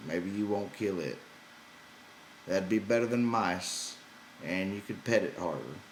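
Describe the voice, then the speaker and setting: Man acting a gruff, weary slow-talking ranch hand. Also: low voice, echoey room, slight background noise, low-quality recording. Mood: conciliatory. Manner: gruff, exasperated, folksy ungrammatical speech. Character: gruff, weary, irritable male ranch hand looking after companion.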